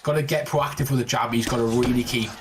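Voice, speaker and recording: A man co-commentating a live fighting game match, quick with exclamations. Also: mid-range voice, background noise. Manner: fast, animated exclamations. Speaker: esports co-commentator.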